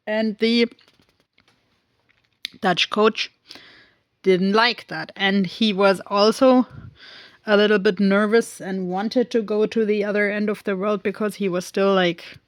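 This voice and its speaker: A woman recapping a film in a casual, rambling way, with many pauses and fillers. She speaks English as a second language and often goes off on tangents. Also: mid-range voice, fairly even intonation, dry recording. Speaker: film reviewer.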